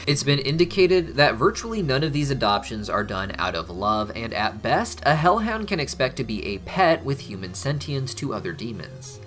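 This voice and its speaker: A man's voice: medium pitch, fairly bright tone. A man hosting a cartoon analysis channel, giving scripted video-essay narration in an analytical, theorizing manner. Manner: analytical, theorizing in first person. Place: voice-over over cartoon clips.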